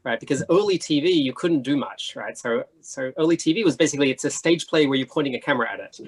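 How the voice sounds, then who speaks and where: A man's voice: medium pitch, fast talker, breathy edge. A man speaking informally as a panelist, discursive and often checking for agreement. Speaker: panelist, writer and editor. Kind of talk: casual panel chat.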